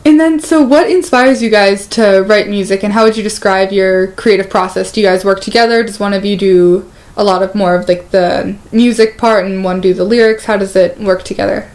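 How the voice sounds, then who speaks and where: A woman's voice: medium pitch, normal speed, few pauses, darkish tone. A woman asking questions in a casual interview.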